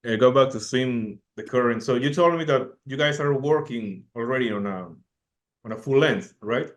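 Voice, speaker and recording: Man, medium pitch, interviewing casually. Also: moderate pace. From Peru. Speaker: music interviewer.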